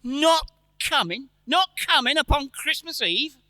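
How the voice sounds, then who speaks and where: Doting Victorian clerk dad male actor: animated, unhurried, effusive and tender, close dry sound, radio drama. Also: very high-pitched, no room sound, heavily acted. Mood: puzzled. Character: warm-hearted, poor Victorian clerk and doting father. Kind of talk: stage radio play acting. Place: church, live performance.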